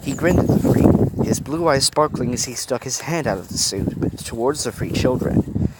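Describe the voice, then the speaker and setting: A man reading a fan story aloud, animated with occasional stumbles over words. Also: mid-range voice, normal speed, very noisy. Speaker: reader.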